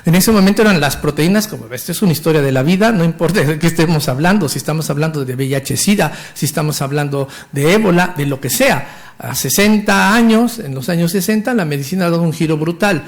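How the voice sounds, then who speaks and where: A man's voice: on the high side, lively intonation, quick pace. A man in his fifties with a Mexican Spanish accent, giving an enthusiastic and technical medical lecture with some stumbles and restarts. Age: 50s. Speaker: physician lecturer.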